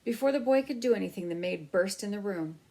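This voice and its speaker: A woman reading a children's book aloud as an expressive storyteller. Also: mid-range voice, fast, rough recording.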